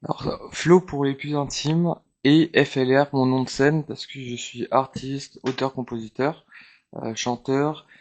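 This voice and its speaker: Man with a flat, even voice. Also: medium pitch, unhurried, slight background noise. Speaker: guest, singer-songwriter. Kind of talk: casual interview chat.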